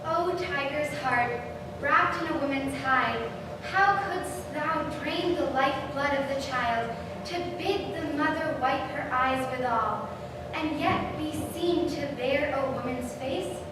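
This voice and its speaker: High, echoing woman as accusing ghostly queen. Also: takes it slowly. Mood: bitter, accusing. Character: grieving, accusing ghostly queen cursing a female tyrant. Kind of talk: staged Shakespeare reading. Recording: very echoey hall, distant, noisy.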